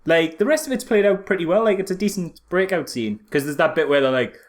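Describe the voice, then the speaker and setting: A man's voice: high-pitched, animated, quick pace. A man co-hosting a casual comedic film discussion, rambling and sarcastic, slipping into mock quotes. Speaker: podcast co-host reviewing films.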